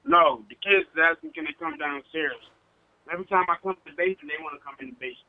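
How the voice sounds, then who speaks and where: A man's voice: fairly high pitch, quick pace, narrow, tinny audio. A man on a call, rambling and a bit defensive about his own story. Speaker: caller.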